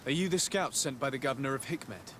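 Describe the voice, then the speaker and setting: A man playing a composed young noble diplomat in a video game, speaking in a formal, measured and polite way with quiet resolve. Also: mid-range voice, animated, slight background noise, natural voice. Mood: calm, firm. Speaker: diplomat character. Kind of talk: video game cutscene acting.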